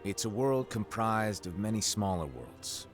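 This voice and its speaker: A man's voice: fairly low pitch, big pitch swings, a little slow. An actor playing a playful, mischievous male master in a game cutscene, breezy and offhand. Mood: solemn. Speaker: game character. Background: game music.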